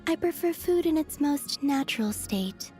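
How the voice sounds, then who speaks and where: A woman voicing a serene, otherworldly young moon maiden from a video game, soft and breathy, with a gentle, naive curiosity. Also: high-pitched, lively intonation, faint music behind. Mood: serene, wistful. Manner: slow, dreamy, innocently curious. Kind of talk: video game character voice lines.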